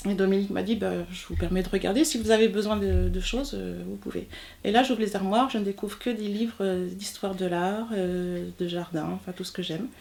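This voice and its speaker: A woman's voice: medium pitch, fairly even intonation, fairly quick, creaky, darkish tone. A woman in her forties from Normandy, France, telling a personal story informally, often searching for words. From southern Manche, Normandy, France. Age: 40s. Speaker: interviewee, textile artist.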